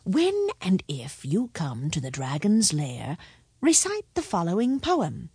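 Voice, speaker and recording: Woman as a deliberate wise old cobbler father. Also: lowish voice, wildly swooping pitch, mellow, slightly rough, no room sound, studio quality, full character voice. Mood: solemn, instructive. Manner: declaiming, slow and firm.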